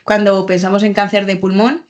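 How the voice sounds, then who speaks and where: A woman's voice: mid-range voice, level tone, moderate pace. A woman hosting a medical podcast, chatty and friendly, rephrasing her questions as she goes. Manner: chatty, laughs often, asks and rephrases questions. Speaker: podcast host. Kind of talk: friendly interview.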